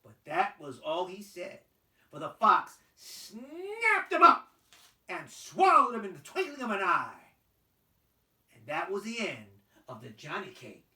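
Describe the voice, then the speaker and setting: Bright, very animated storyteller. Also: medium pitch, distant mic. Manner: theatrical, deliberate phrasing for children. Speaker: puppet show storyteller. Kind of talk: animated scripted folktale narration. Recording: roomy, voice away from microphone.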